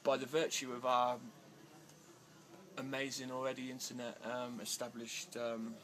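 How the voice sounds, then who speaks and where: Male interviewee, mid-pitched, halting delivery with restarts, noisy outdoor ambience. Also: monotone, a bit bright. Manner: hesitant, many fillers and restarts, growing agitated. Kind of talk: casual interview answers. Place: outdoors in India.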